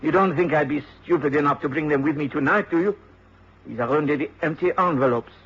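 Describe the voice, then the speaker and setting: An actor playing a suave, menacing blackmailer in a radio drama, with a husky voice and a smooth, insinuating, formal manner. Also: male voice, fairly high pitch, quick pace, a bit bright. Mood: smooth menace. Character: suave, menacing French blackmailer, tall dark man. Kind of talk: British radio drama acting. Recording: dull old radio drama recording.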